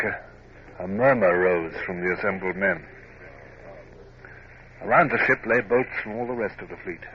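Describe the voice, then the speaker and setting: An actor playing a reflective, scrupulous naval officer, narrating formally and in measured phrases in a radio drama. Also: male voice, low-pitched, close to an everyday voice. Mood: sombre. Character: reflective, scrupulous young male Royal Navy officer narrating memoirs. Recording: dull, noisy vintage radio drama recording.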